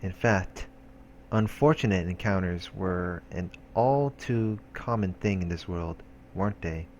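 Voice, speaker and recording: A man's voice: low voice, unhurried, slight background noise. A man reading visual novel text aloud as a let's play host, in a casual way with offhand asides.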